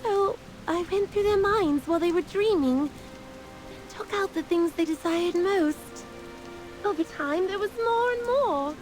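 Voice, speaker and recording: A very high, mischievous game fairy, teasing and theatrical in every phrase. Music plays clearly behind the voice. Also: female voice, a little slow, darkish tone, rough recording. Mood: smug, playful. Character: playful teasing female fairy, bored and mischievous. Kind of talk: scripted game voice acting.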